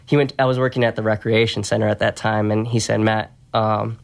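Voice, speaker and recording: A soft-spoken man talking in an easygoing, reflective way as a podcast guest, telling stories about his work in a conversational interview. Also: fairly low pitch, flat delivery, brisk, creaky. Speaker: podcast guest, strength and conditioning coach.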